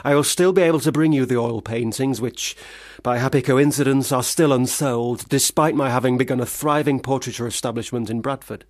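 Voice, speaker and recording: An actor in a radio play, a man, playing a failed, self-pitying young gentleman writer with formal, wordy diction. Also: medium pitch, fairly quick, hardly any pauses, dry recording, studio quality, natural voice. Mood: formal, apologetic. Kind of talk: radio play monologue and dialogue.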